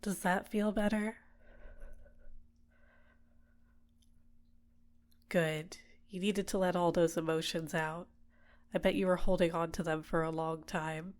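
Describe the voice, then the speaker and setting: A woman playing a tenderly possessive, manipulative villain captor in an intimate ASMR roleplay, speaking in a soft, soothing, deliberate way. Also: fairly low pitch, fairly even intonation, lightly acted.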